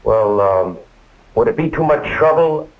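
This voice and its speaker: A man's voice: on the low side, lively intonation, unhurried, rough recording. A man playing an eager, polite young learner in a 1950s training film. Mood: eager, curious.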